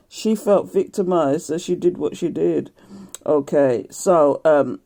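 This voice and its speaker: Woman, croaky, middle-aged, mellow, lively pitch, chatty tarot reading. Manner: chatty, laughs often, reads aloud from a card booklet. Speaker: tarot reader. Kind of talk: casual daily card reading.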